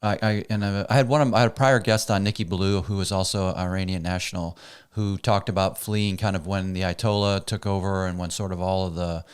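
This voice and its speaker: Low-voiced encouraging male podcast host. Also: fairly even intonation, a little fast, clear, resonant tone. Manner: encouraging, asks long rambling questions. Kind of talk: interview host.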